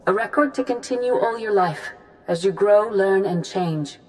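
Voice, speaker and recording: An actress playing a grave, authoritative female Jedi grandmaster in a video game, speaking formally and deliberately. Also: medium pitch, a little mellow, lightly acted, poor audio. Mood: grave, earnest. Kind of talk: scripted video game dialogue.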